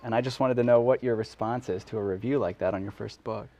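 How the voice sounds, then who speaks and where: A man's voice: on the low side, quick pace, a little mellow. A man co-hosting and interviewing in a casual, joking, conversational way. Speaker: co-host, interviewer.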